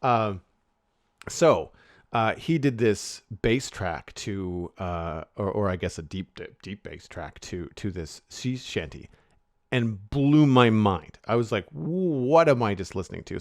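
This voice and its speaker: A man's voice: sits low, expressive, a little slow, a little mellow, no echo, clean studio sound. A man co-hosting a podcast, chatting casually and rambling with many restarts and fillers. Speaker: podcast co-host.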